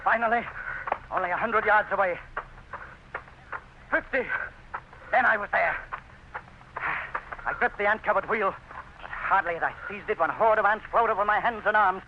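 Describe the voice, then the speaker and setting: An actor playing a resolute, proud planter in an old-time radio drama, narrating his own ordeal with intense, halting delivery. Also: high voice. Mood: driven, urgent. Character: resolute, proud male planter battling swarming ants in agony. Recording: noisy, muffled vintage radio recording.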